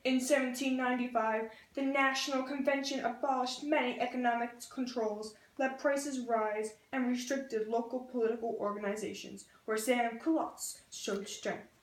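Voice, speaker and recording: A woman's voice: fairly high pitch, fairly even intonation, moderate pace, hardly any pauses. A young woman, a student presenter, narrating history from a script as part of a two-person skit. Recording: roomy, echoey room sound.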